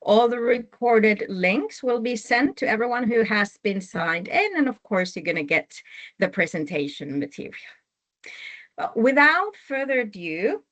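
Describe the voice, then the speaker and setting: Slow-talking Finnish woman hosting a webinar. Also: a little high, expressive, fairly bright tone. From Finland. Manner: unhurried, with frequent "uh" fillers. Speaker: webinar host, project manager. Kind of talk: webinar introduction.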